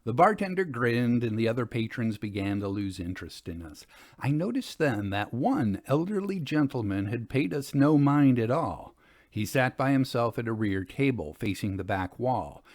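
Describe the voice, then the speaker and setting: Expressive male storyteller with lively pitch. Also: normal speed, no room sound, studio quality. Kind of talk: scripted first-person story narration.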